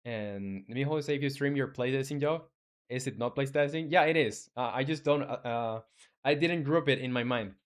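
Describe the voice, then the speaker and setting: A young Chilean man, Spanish his first language, talking loosely to his stream viewers while he codes. His voice sits at an ordinary middle pitch, and his sentences often stall, restart and fill with "uh" and "like.". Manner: halting, many restarts and fillers. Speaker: game developer streamer. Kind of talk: casual live-stream chat reading viewer comments.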